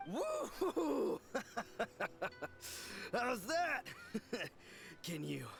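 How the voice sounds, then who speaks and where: Slow, creaky, possessed young man. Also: very high-pitched, huge pitch swings, music in the background, full character voice. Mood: mocking bravado. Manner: theatrical, drawn-out, stuttering. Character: possessed young man, swinging between manly bravado and misery. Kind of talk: English video game voice acting.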